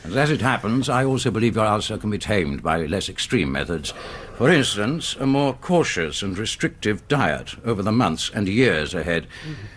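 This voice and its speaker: An actor playing a brisk, sardonic, superior British surgeon in a radio drama, with a dry lecturing manner. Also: male voice, lowish voice, very animated, fairly bright tone, a little hiss or hum. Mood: stern, wry.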